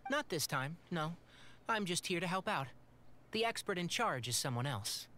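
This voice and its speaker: An actor playing a calm, patient young male forest scholar in a game, explaining things in a measured, polite way. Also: a little high, slight background noise. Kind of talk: video game voice acting.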